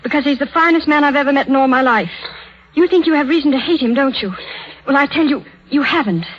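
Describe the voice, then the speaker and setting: An actress playing a loyal, plain-spoken, quietly lovelorn woman in a 1930s radio drama. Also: high voice, fast talker, in a large hall, close to an everyday voice. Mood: firm, fervent. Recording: muffled 1937 radio recording.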